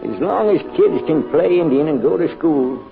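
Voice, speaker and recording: An actor playing a gentle, reflective old grandfather in a folksy, musing way, in an old-time radio drama. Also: fairly high pitch, animated, moderate pace, vocal fry. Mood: wistful. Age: older. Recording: tinny band-limited old radio, music behind.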